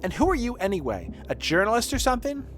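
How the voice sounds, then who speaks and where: Prickly, taunting game woman, acted out by a man, expressive delivery, animated pitch. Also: fast, noisy background, full character voice. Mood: taunting, playful. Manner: snappish, taunting. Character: guarded, prickly woman, mocking and candy-obsessed. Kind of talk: video game dialogue read aloud.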